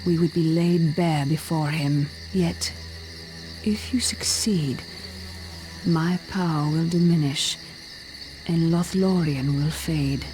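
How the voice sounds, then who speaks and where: Regal mystical elf queen for a fantasy game, really slow n solemn, deep for a woman. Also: a little mellow, soft background music, low-quality recording. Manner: slow, measured, grave, formal. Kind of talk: fantasy video game voice acting.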